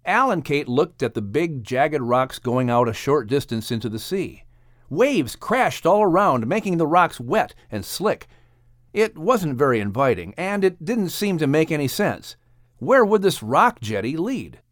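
A man's voice: fairly high pitch, big pitch swings, unhurried, no room sound, clean studio sound. A man narrating and announcing a children's story show in a scripted storyteller style.

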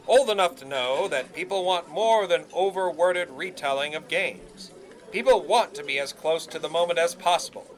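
An actor playing a cocky, grandiose young 1920s radio announcer in an audio drama, florid and emphatic. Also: male voice, on the high side, normal speed, close to an everyday voice, low-quality recording. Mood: disdainful boasting. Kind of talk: period audio drama acting.

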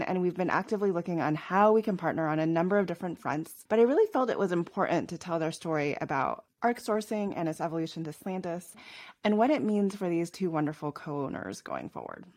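Woman, podcast host, lower voice, conversational interviewing. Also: expressive, fast talker, a little mellow.

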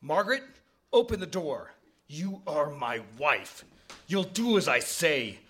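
An actor playing a brutish, domineering husband with a raspy, commanding voice in a live radio drama. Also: male voice, on the high side, sing-song, slow, fairly bright tone, exaggerated voice. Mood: angry, menacing. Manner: commanding, menacing. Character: brutish, domineering husband shouting at his wife. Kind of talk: live radio play acting.

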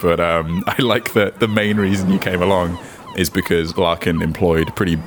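A man running a tabletop game as dungeon master, unscripted, jokey and quick to laugh between bits of narration. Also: sits low, steady tone, noisy background.

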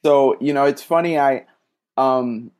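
A mid-pitched man speaking slowly and reflectively, often pausing with "you know" and "um". He is a guest in a casual conversation. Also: a little mellow. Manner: thoughtful, hesitant, many fillers. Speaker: guest, social worker.

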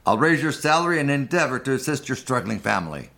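A man's voice: mid-range voice, big pitch swings, quick pace, creaky, sharp tone, full character voice. A man playing a repentant old miser in a live radio play of a Victorian story, earnest, emphatic and theatrical. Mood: hearty. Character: repentant old miser, pleading, then giddily joyful.

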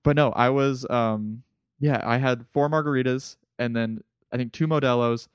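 A man's voice: mid-range voice, fairly even intonation, moderate pace, clean tone, dry recording, highs cut off. A young man in his twenties chats on a podcast as a comedian guest. He tells eager, rambling stories full of restarts. The sound is dry and close. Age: 20s. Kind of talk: casual podcast chat.

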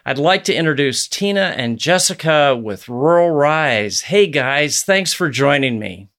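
A man's voice: a little high, lively intonation, unhurried, a bit bright. A man hosting a podcast, reading a scripted introduction and then chatting with his guests in an upbeat, welcoming way. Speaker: podcast host.

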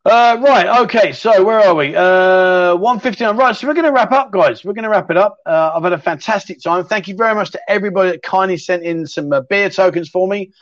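Matey host, middle-aged, rambling chat, slightly raised pitch, dry close mic. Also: male voice, brisk, few pauses, no echo, lo-fi sound. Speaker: livestream chat show host. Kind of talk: casual livestream chat reading viewer questions.

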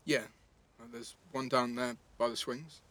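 A man's voice: mid-range voice, steady tone, a little slow, fairly bright tone, close to an everyday voice. An actor playing a cheeky, blunt teenage boy with an English accent, teasing and slangy in a naturalistic audio drama. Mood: wry, probing.

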